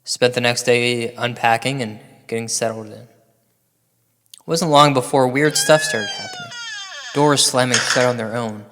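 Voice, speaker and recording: Man, mid-pitched voice, unhurried spooky-comic storytelling, deadpan, dramatic pauses, cavernous reverb. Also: noisy background. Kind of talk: slow comedic ghost-story narration, part verse recital. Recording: long hall reverb over music. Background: music.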